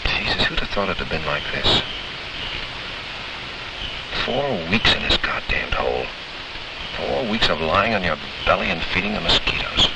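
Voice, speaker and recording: An actor playing a bitter, agitated young marine pinned down in the jungle in a 1940s military training film. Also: male voice, sits low, wildly swooping pitch, moderate pace, vocal fry, pauses often, distant mic, heavily acted. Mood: bitter, weary. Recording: noisy, crackly 1940s film soundtrack.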